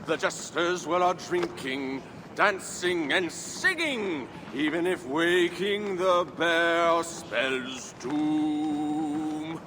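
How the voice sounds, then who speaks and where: An actor playing a theatrical jester in a video game, delivering riddling rhymed verse in a drawn-out lilt. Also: male voice, fairly high pitch. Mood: playful, theatrical. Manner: drawn-out, lilting performance. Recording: outdoor game ambience, clearly noisy.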